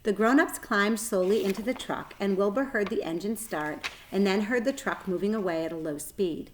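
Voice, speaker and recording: A woman's voice: mid-range voice, slight background noise, low-quality recording. Woman narrating a children's story. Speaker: read-aloud narrator.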